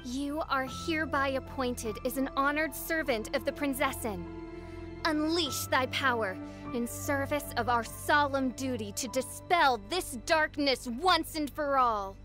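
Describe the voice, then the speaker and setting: A woman's voice: high voice, measured pace, music in the background. A woman playing a theatrical self-styled gothic princess, a teenage girl in an anime game, speaking in archaic, melodramatic, pompous tones. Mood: imperious.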